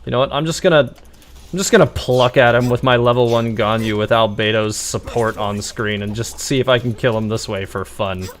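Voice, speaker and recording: A man's voice: mid-range voice, fairly quick. A man livestreaming a game, musing aloud in a wry, casual way. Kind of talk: casual live gameplay commentary.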